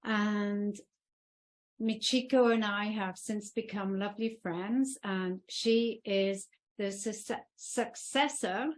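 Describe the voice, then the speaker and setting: Woman, podcast host, effusive, mid pitch, leisurely. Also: a little mellow. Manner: gushing, effusive with praise. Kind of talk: interview podcast intro.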